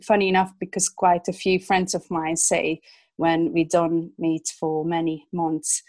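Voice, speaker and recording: A woman in her thirties from Hungary, a Hungarian speaker talking in English, is a guest illustrator chatting in an interview, with many fillers and soft laughs. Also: on the low side, little pitch movement, a little slow, no room sound. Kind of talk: casual interview chat.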